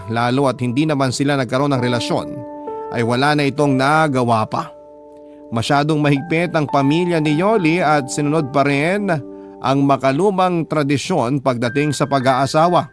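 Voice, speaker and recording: A man's voice: on the high side, normal speed. Filipino man narrating over prominent music. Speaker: radio storyteller reading a listener's letter. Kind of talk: scripted dramatic narration of a love story. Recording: music playing under the voice. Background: background music.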